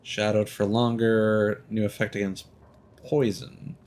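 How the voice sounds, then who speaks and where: A man's voice: on the low side, flat delivery, a little slow, darkish tone. A young man streaming a game, casually reading menu items aloud to himself.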